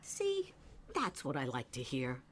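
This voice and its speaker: A woman's voice: very deep voice, wildly swooping pitch, measured pace, a little hiss or hum, exaggerated voice. A woman voice actor playing an eccentric, streetwise older woman who rambles in a folksy, suspicious way in a video game. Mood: friendly, frank. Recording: game music behind the voice.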